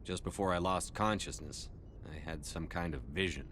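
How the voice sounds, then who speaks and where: A man's voice: low voice, moderate pace, fairly bright tone, slight background noise. A man playing a stoic, resolute soldier in command, with terse, restrained, serious delivery in a sci-fi video game. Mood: troubled. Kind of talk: cinematic sci-fi game dialogue.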